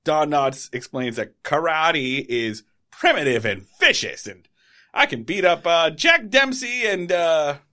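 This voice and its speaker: Animated male podcast co-host. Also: on the high side, measured pace. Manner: animated, jokey recapping of an old sitcom episode. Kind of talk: casual podcast chat.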